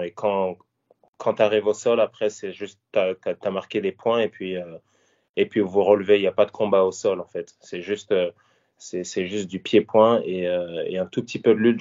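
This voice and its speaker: A man in his thirties from Paris talks through his fighting career in a very deep voice. He speaks casually and haltingly, often restarting and filling pauses. The audio is dull and lo-fi. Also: darkish tone. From France, Paris. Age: 30s. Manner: hesitant, many fillers and restarts. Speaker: former MMA fighter and coach. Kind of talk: casual interview answers.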